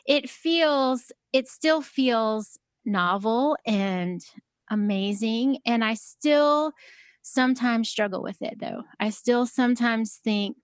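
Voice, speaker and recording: A woman with a high voice talking casually as a podcast guest, soft-spoken and hesitant, with many fillers. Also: no echo, studio quality. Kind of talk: casual conversation.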